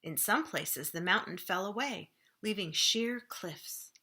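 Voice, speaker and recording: Bright-voiced woman reading a children's story. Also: mid-range voice, lively intonation. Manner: dramatic pauses, slowing and hushing for suspense. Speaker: children's librarian reading aloud. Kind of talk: expressive children's storybook narration.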